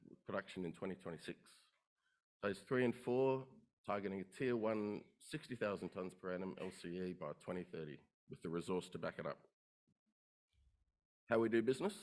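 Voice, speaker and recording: A man delivers a conference pitch in a lowish voice. He moves briskly through rehearsed lists of numbers and points. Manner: brisk, rehearsed, listing facts. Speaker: company presenter. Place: conference room.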